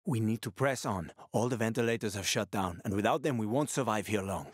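A man's voice: on the low side, brisk, no echo, studio quality, lightly acted. An actor playing a wry, streetwise male survivor and former cab driver in a video game, colloquial and sweary, with an urgent manner. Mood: tense, focused. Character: wry, streetwise male ex-cabbie survivor, fatherly yet shifty. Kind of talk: video game character dialogue.

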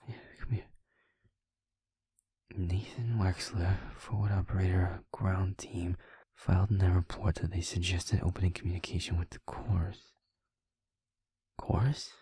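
A man playing a feisty, shaken young werewolf boyfriend in an intimate audio roleplay, husky and halting, muttering in a half-whisper. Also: deep voice, darkish tone, no echo, heavily acted. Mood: intent, puzzled. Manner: halting, muttering, blunt swearing.

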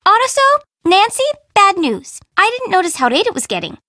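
An actress playing a dramatic, friendly young woman in a video game, expressive and informal. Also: extremely high pitch, moderate pace, no echo, studio quality, exaggerated voice. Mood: perky, friendly. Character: perky, dramatic young woman, friendly but easily spooked. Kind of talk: scripted game dialogue.

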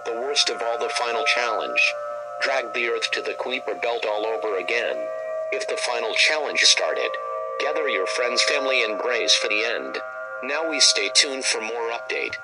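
A man's voice: medium pitch, moderate pace, loud music behind the voice, low-quality recording. A man delivering scripted, ominous emergency broadcast announcements in the role of an alert announcer.

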